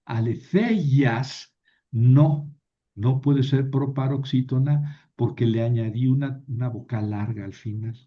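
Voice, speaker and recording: Man, grammar teacher, steady mid voice, asks and answers his own questions; muffled recording. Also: mid-range voice, slow pace. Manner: patient, explains step by step and repeats key rules. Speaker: Greek teacher. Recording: dull lo-fi sound.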